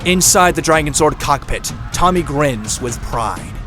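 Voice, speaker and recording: A man's voice: fairly high pitch, animated, measured pace. A man narrating a scripted action audio drama in a dramatic manner. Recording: very loud music and effects behind.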